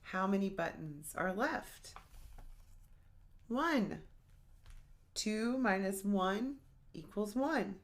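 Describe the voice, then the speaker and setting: A woman's voice: mid-range voice, expressive, drawn-out words, long pauses. A woman hosting a children's storytime, reading a picture book aloud in a playful, sing-song way and speaking straight to young listeners.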